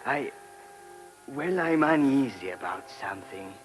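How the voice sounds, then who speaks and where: A diffident monk, played by a male actor in a radio drama. He sounds uneasy and apologetic, hesitating as he goes, with lively pitch movement. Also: unhurried, faint music behind, natural voice. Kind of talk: radio play acting.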